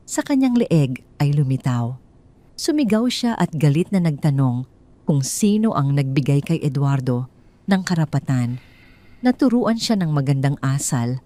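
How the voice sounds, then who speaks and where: A Filipino woman with a low-pitched voice tells a dramatic story as an audiobook narrator. Her delivery is scripted, solemn and emotive. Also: mellow.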